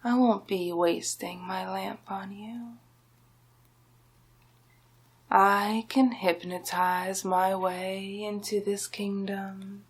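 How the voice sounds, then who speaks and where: Woman playing a breathy, slow sorcerer villain. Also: medium pitch, fairly even intonation. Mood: condescending, menacing. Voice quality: breathy, often whispered. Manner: slow, drawn-out, menacing, hypnotic. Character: scheming, gloating male sorcerer villain, silkily domineering. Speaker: ASMR roleplay performer. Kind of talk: ASMR villain roleplay, slow whispering and soft speech.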